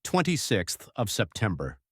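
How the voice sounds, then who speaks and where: A man narrating an audiobook as an earnest young husband reading out his journal in a measured, literary way. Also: lowish voice, huge pitch swings, slightly rough, no echo, studio quality, full character voice. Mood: reflective, resolute.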